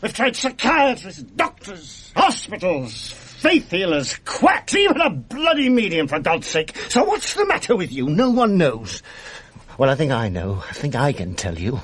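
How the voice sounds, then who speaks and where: An actor playing a bitter, sardonic middle-aged husband with a British accent in a radio drama, his voice husky. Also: high-pitched, wildly swooping pitch, a little slow, a bit bright, heavily acted. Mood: furious ranting. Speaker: lead actor and narrator.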